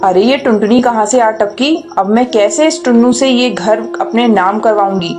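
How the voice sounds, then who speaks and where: A woman's voice: on the high side, normal speed, lightly acted. A woman voicing a spiteful, scheming female sparrow in a cartoon, sneering and sly, with music behind. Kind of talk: dubbed cartoon acting.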